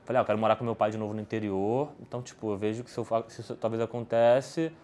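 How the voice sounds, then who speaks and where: A man's voice: fairly low pitch, fairly even intonation, very fast, darkish tone. A Brazilian man, a podcast guest, answering questions in casual talk, rambling with many restarts and tag questions. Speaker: podcast guest, poker player.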